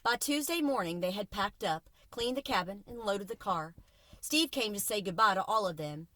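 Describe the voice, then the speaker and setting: Bright-voiced woman reading a story aloud. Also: medium pitch, expressive, moderate pace, no room sound. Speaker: read-aloud host. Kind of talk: children's audiobook read-aloud.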